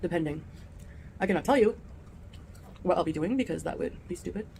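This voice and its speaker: A woman's voice: lowish voice, expressive, brisk, mellow. A woman food vlogger talking casually to her viewers. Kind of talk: casual vlog talk. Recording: clearly noisy played-back vlog audio.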